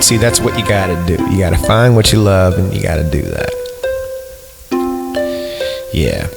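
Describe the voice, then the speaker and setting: A man hosting a podcast, reading a story aloud and breaking off into rambling, irreverent joking asides. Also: on the low side. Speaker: podcast host.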